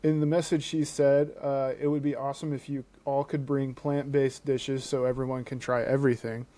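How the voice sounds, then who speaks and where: A man's voice: medium pitch, little pitch movement, normal speed, warm tone. A man co-hosting a podcast in an informal, conversational way. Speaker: podcast co-host. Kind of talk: casual chat and reading posts aloud.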